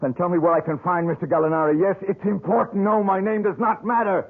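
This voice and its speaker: A man's voice: high voice, fairly even intonation, fast talker, slight background noise. An actor playing a desperate, accusing young man who speaks urgently, in a 1970s radio drama heard over a tinny old broadcast. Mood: anxious. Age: young adult. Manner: urgent, agitated, pleading.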